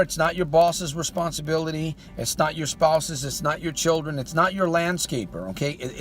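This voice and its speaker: A man giving a motivational monologue as a life coach. He keeps firing rhetorical questions at the listener and talks to them in a folksy way, calling them "my friend". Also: medium pitch.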